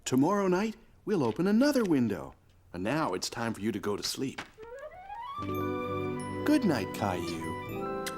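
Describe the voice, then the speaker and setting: A man playing a kind, patient father in a children's cartoon, with a gentle storytelling manner. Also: medium pitch, wildly swooping pitch, a little slow, long pauses. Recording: music behind the voice.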